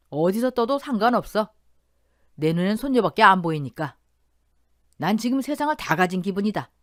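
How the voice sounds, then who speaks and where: A man's voice: sits high, dry recording, clean studio sound. A woman reading the lines of an older father, who talks in a tender, sentimental way about his new granddaughter.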